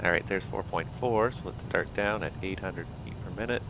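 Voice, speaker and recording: A deep-voiced man talks casually through a flight, reading out checklists and thinking aloud as he goes. His voice is wearing thin, and a steady engine rumble runs under him. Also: brisk. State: losing his voice. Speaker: flight simulator pilot. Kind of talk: casual cockpit commentary.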